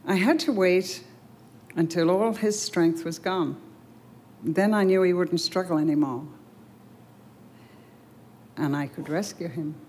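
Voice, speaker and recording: A woman speaking as a gentle male healer. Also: lowish voice, measured pace, pauses often, slight background noise, lightly acted. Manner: quiet and gentle.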